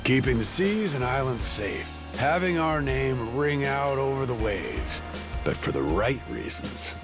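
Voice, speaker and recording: A man's voice: mid-range voice, sing-song, unhurried, music in the background. A man playing an easygoing, honourable pirate captain in a video game, talking in a folksy, frank way. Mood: earnest musing. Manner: folksy, conversational. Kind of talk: video game cutscene acting.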